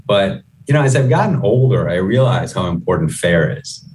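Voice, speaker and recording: A man's voice: sits low, big pitch swings, normal speed, a little mellow, recorded from a distance, poor audio. A middle-aged man from Guyana who moved to the US as a child, speaking reflectively and conversationally as an executive being interviewed. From Guyana, moved to the US at eleven. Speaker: chief executive, interview guest.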